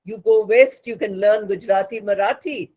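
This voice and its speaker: A woman's voice: mid-range voice, moderate pace, darkish tone. A woman with an Indian accent and Bengali as her native language, giving an instructional lecture as a spoken English teacher, didactic and repeating points for emphasis.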